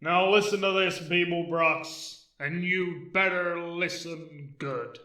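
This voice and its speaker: A man's voice: sits high, takes it slowly, sharp tone, full character voice. A man playing a hectoring, self-justifying, trigger-happy space cop in an amateur sci-fi comedy audio drama. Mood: threatening. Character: blustering trigger-happy space cop who claims sensitivity. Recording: roomy echo, distant from mic.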